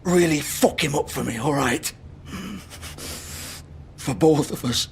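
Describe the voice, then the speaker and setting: An actor playing a foul-mouthed, bitter, wounded crook in a video game, raspy and swinging between defiance and despair. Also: male voice, a little high, takes it slowly, slight background noise. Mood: weary, defiant. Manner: profane, pleading, cynical. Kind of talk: dramatic video game acting.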